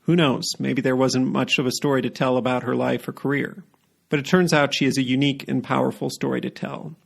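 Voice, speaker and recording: A man's voice: medium pitch, steady tone, brisk, vocal fry. A man hosting a podcast, reading a scripted introduction and then asking questions in an earnest, carefully paced way. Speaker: podcast host.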